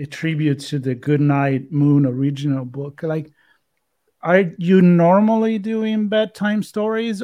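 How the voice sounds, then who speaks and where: Male co-host, slightly high mellow voice, halting delivery. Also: no room sound. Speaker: interview co-host. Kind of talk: conversational interview.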